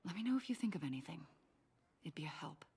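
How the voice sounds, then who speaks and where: An actress playing a shrewd, probing government agent in a video game, with a husky, breathy American voice. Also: female voice, deep voice, sing-song, quick pace, warm tone, full character voice. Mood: curious, probing.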